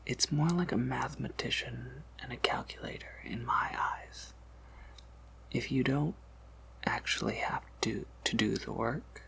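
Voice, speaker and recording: A man performs a patient, knowing vampire shopkeeper for an ASMR roleplay. He speaks slowly and softly, mostly in a whisper that drops into heavy creak. His explanations are measured and slightly wry. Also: medium pitch, exaggerated voice, rough recording. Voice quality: breathy whisper with creaky undertone. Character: soft-spoken male vampire apothecary shopkeeper, patient and knowing.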